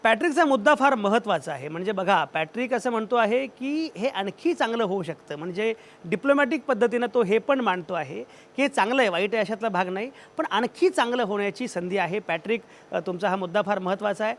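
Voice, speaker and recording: A man hosting a TV talk show, moderating a panel briskly and cutting in to steer the talk. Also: high voice, lively intonation.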